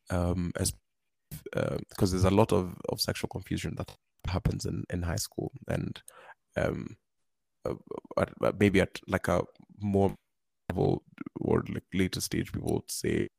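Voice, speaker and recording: A man speaking as a podcast guest, giving earnest advice in a halting way, with frequent restarts. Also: low voice, creaky, darkish tone, husky, no room sound. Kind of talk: casual advice talk.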